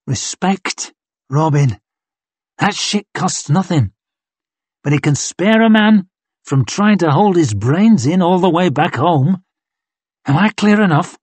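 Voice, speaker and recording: A man voicing a grim, bearded male warrior in an audiobook, speaking quietly and deliberately with slow menace. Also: high-pitched, animated, husky, no room sound, voice pushed up, exaggerated voice. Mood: quietly menacing. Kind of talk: audiobook character dialogue.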